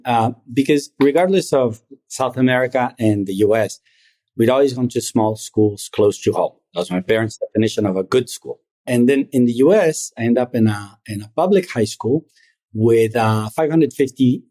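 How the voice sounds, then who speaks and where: Mellow-voiced Argentine-born man, reflective. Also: mid-range voice. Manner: reflective, measured storytelling. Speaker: private equity founder, guest. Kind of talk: interview conversation.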